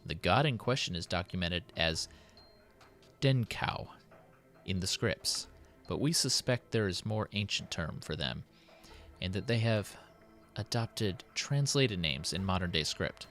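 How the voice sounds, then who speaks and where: Man acting a deep-voiced, solemn city president. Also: expressive, a little slow, fairly bright tone, soft background music. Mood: solemn, intrigued. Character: worried, dutiful city president dictating his diary. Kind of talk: dramatic in-character diary reading.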